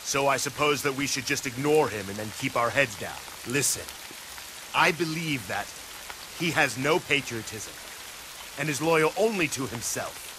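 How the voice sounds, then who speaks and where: A man's voice: mid-range voice, expressive, normal speed, background noise, natural voice. An actor playing a proud, bold middle-aged warlord and father in a video game, declamatory and commanding. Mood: stern. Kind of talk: dramatic English video game cutscene acting.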